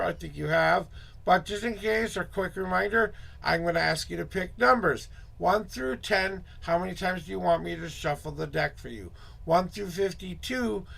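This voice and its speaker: A hoarse man hosting a casual tarot livestream, muttering asides to himself and greeting viewers in a loose, chatty way. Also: high voice, fairly bright tone. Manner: upbeat, drawn-out greetings to viewers. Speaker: tarot reader and livestream host.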